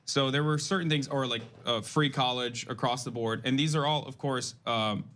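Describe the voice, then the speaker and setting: A young man from Turkey talking on a casual panel. He rambles as he goes and keeps doubling back to restart his sentences. His voice sits at a middle pitch. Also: fairly even intonation, moderate pace, a bit bright. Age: young adult. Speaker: political commentator.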